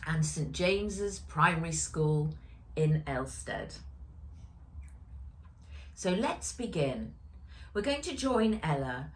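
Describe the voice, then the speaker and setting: A woman's voice: deep voice, big pitch swings, takes it slowly, pauses often, slight background noise. A woman narrating her own children's story expressively, speaking directly to young listeners. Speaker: author reading own story.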